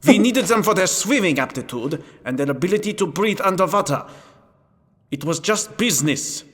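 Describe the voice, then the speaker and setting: A man's voice: sits high, lively intonation, brisk, fairly bright tone. A man playing a self-serving, callous brigand in a fantasy game, defensive and matter-of-fact about his crimes. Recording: long hall reverb, noisy clicks.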